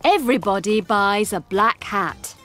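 A woman narrating a simple scripted story for English learners in a deliberate, expressive way. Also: mid-range voice, soft background music.

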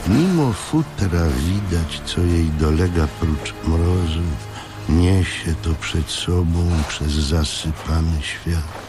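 A man reading scripted literary narration in a measured way, with dramatic pauses. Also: low voice, vocal fry. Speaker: audiobook narrator. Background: music.

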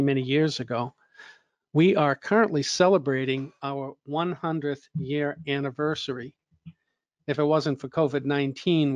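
A man moderating an online training webinar, slightly hoarse, talking in a relaxed, conversational way with fillers and restarts. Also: medium pitch, no room sound.

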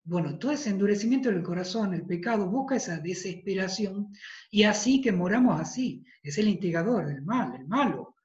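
A man in his thirties with a Tucumán Argentine accent, a priest giving an emphatic devotional talk over a video call, repeating phrases for weight and clearing a tired throat. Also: high voice, expressive, normal speed, darkish tone. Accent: Tucumán Argentine Spanish. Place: study with bookshelves, indoors. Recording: roomy, dull video-call audio.

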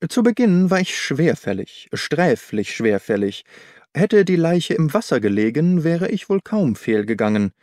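A man voicing an astute, self-assured gentleman detective in an audiobook, precise and composed as he explains. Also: a little high, very animated, a little slow, no room sound, studio quality. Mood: composed, explanatory. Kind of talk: audiobook character dialogue.

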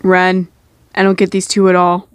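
A woman's voice: lowish voice, monotone, moderate pace, smooth, no echo, lightly acted. A woman voicing fond, easily flustered young men with a sighing, mildly exasperated manner in an amateur comic dub.

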